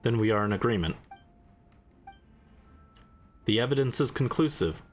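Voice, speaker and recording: A man's voice: medium pitch, expressive, close to an everyday voice. Man voicing a stern, formal courtroom judge. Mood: solemn. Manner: solemn, formal, measured. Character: stern formal male judge presiding over a trial.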